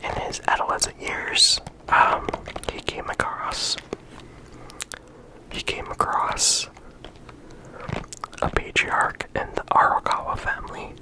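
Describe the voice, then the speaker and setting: An ASMR creator giving a whispered, unhurried character discussion, often pausing and restarting mid-sentence. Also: low-quality recording. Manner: unvoiced whisper throughout.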